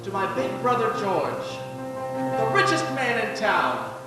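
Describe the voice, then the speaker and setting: A man playing a hearty, warm-hearted small-town friend in a live radio play, animated in delivery. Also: high-pitched, a little slow, heavily acted. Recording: distant echoey hall, loud music.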